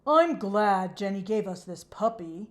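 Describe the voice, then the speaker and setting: A woman voicing an excitable, stubborn but soft-hearted male horse from a children's storybook, with dramatic delivery. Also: mid-range voice, unhurried, lightly acted. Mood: warm. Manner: dramatic exclamations, stubborn complaints. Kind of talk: children's storybook character reading.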